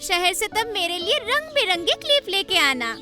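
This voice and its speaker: A woman voicing a sweet, eager little sister in a cartoon story, childlike and coaxing, with drawn-out words. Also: very high voice, sing-song, loud music behind the voice. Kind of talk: Hindi cartoon story acting.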